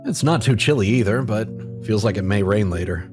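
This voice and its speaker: A man playing a reserved younger brother in an audio drama, speaking in a quiet, understated way. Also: lowish voice, lively intonation, fairly quick, over music, close to an everyday voice. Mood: guarded, casual.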